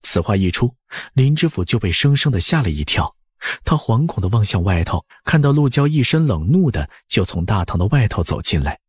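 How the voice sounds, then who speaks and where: A man's voice: lowish voice, big pitch swings, a little fast, a little mellow, slightly rough, no echo. A man narrating a novel as an audiobook, scripted and dramatic.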